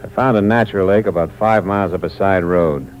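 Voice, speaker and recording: A man playing a hard-boiled, deep-voiced drifter. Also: fairly quick, dull audio, natural voice. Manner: terse, wry first-person narration. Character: hard-boiled male drifter narrating his own temptation. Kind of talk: 1950s radio drama acting. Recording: noisy old radio recording.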